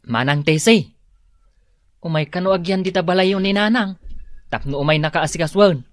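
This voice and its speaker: A woman's voice: lowish voice, normal speed, dry recording, natural voice. A woman playing a curious, chatty younger sister in a radio drama, with an Ilocano accent. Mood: coaxing. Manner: questioning, animated.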